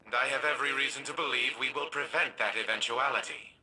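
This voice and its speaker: An actor playing a proud, scheming old scientist and grandfather in a video game, talking in a smug, measured, formal way. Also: medium pitch, background noise, close to an everyday voice. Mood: grandly persuasive.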